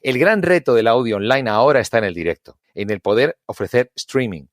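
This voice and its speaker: A man's voice: medium pitch, expressive, fairly quick, no echo, studio quality. A man giving his opinion as a radio professional in an interview clip. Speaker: radio executive. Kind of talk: spoken interview comment.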